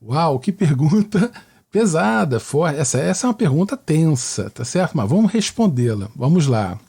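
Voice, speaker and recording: A man's voice: a little high, very animated, normal speed, gravelly, mellow, slightly rough. A man from Rio de Janeiro with a Carioca Brazilian accent, hosting and answering questions in a conversational, didactic way with frequent checking tags. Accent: Carioca Brazilian Portuguese. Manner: didactic, with frequent tag questions seeking agreement. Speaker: podcast host and mathematics teacher.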